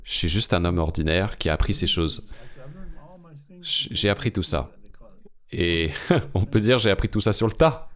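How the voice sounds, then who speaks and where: A man's voice: sits low, animated, a little slow, long pauses. A man voicing a guest's translated interview answers, speaking earnestly with repeated phrases. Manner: earnest, advising, repetitive phrasing. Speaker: French voice-over interpreter for a guest.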